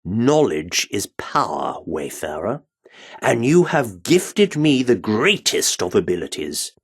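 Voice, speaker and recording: Flamboyant, slow-spoken theatre director. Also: male voice, on the low side, very animated, slightly rough, heavily acted. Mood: wounded, solemn. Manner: grandiloquent, archaic flourishes, dramatic pauses. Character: flamboyant, self-important male theatre director. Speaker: video game character voice actor. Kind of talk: theatrical video game dialogue.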